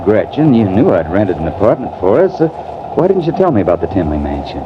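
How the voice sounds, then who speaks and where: An actor playing a sardonic, disillusioned husband in a mannered, theatrical 1950s American radio drama style. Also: male voice, low voice, big pitch swings, quick pace. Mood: protesting. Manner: wry, mannered, theatrical delivery. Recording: dull, hissy vintage radio recording.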